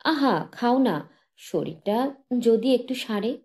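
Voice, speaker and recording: A woman's voice: on the high side, animated, slow, darkish tone, voice pushed up. A woman voicing a young adoring wife who is playful, jealous and anxious, with a coaxing, tender way of speaking.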